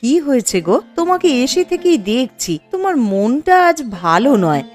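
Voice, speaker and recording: A woman's voice: high voice, big pitch swings, normal speed, soft background music. A woman voicing a worried young village wife in a cartoon, anxious and fretting. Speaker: cartoon character.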